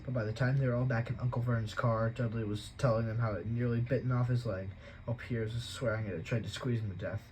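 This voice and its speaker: A man reading a novel aloud at a steady pace, with an occasional stumble he corrects. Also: mid-range voice, quick pace, hardly any pauses, slight background noise, rough recording. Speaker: audiobook reader.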